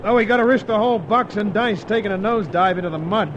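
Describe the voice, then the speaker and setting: An actor playing a gruff, cagey American pilot with blunt, slangy talk in an old-time radio serial. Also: male voice, sits high, quick pace, lightly acted. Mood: tense, focused. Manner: slangy, blunt, grudging tough-guy talk. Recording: dull, faintly noisy old radio recording.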